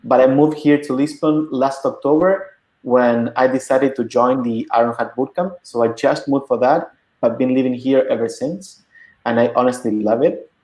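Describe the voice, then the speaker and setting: A man in his thirties from Argentina, a bootcamp instructor presenting a webinar in a relaxed way while setting up his slides. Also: medium pitch, moderate pace, echoey room, low-quality recording. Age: 30s.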